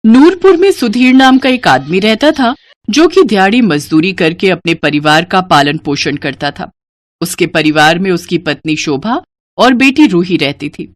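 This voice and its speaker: A woman's voice: lowish voice, lively intonation, normal speed, no echo. A woman reading a scripted moral story as its narrator.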